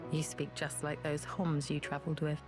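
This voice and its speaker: A woman's voice: deep voice, monotone, normal speed, over music, close to an everyday voice. An actress voicing a proud, guarded woman in a video game drama, with a curt, sardonic manner. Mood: wry, sceptical. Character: bitter, proud, guarded woman, self-loathing rival sister.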